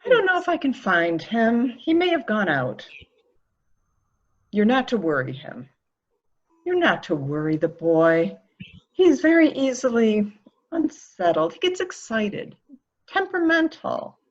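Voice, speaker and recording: A woman's voice: a little high, sing-song, measured pace, long pauses, lo-fi sound. A woman playing an evasive, protective woman in a murder mystery reading, defensive and primly indignant. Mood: anxious, protective. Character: evasive, protective woman guarding an excitable boy.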